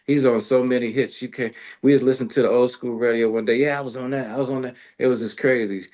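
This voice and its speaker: A man's voice: mid-range voice, fairly even intonation, fast talker, a little mellow. A man talking casually and colloquially as a music show host, rambling through his thoughts in slangy speech. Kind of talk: casual interview chat.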